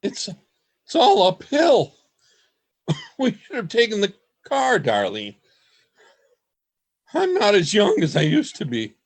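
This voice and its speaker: Radio play role, male actor: elderly husband, grumbling and winded, slow delivery with big swings in pitch. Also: wildly swooping pitch, gravelly, slightly rough, heavily acted. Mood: weary grumbling. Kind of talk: amateur radio play acting.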